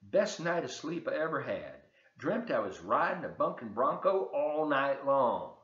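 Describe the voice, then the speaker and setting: A man's voice: mid-range voice, big pitch swings, a little slow, a bit bright, roomy sound, lo-fi sound. Man reading as a cheerful folksy mountain princess.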